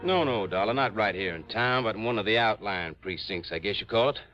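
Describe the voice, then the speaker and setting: An American actor playing an earnest insurance manager who explains things haltingly in a 1950s radio drama. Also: male voice, fairly low pitch, lively intonation, pushed and bright, faint music behind. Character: earnest male insurance office manager briefing an investigator. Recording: dull old radio recording.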